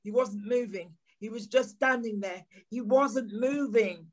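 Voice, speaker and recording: Slow, haunted gentleman, acted by a man. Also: very high voice. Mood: panicked. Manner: deliberate, dramatic, halting repetitions. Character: troubled gentleman narrator, earnest, haunted by a nightmare. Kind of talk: dramatic audio play acting and narration.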